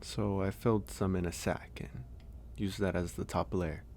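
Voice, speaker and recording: A man playing a gentle, caring werewolf in a soft, intimate ASMR audio roleplay, speaking hesitantly and reassuringly with frequent pauses. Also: sits low, fairly even intonation, slight background noise. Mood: hesitant, explanatory. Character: gentle male werewolf, caring stranger nursing a guest.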